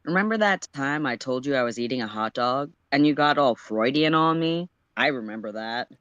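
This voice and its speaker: A flippant, wisecracking teenage boy, acted by a man in a story reading. His delivery is very animated in pitch and dodges with slangy teasing. The sound is dry, with no room around it. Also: normal speed, clean tone, dry recording, muffled recording, natural voice. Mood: flippant, evasive.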